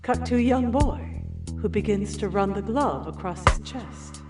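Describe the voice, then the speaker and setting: A sultry female inner voice played by an actress reads out screenplay directions in an audio drama. She is slow and sensual, with sweeping intonation. Loud music plays around the voice. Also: medium pitch, lively intonation, lightly acted. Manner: deliberate, sensual. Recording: distant voice under loud music.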